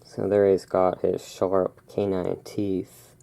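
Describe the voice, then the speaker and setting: A host giving a relaxed ASMR read-aloud, drifting into casual, musing asides. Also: sits low, slow, creaky. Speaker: host reading and commenting on a magazine.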